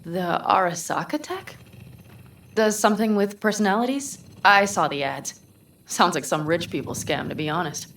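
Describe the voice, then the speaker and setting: A low-voiced, loyal young nomad woman. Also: expressive, moderate pace, fairly bright tone. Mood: skeptical. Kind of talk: naturalistic video game acting.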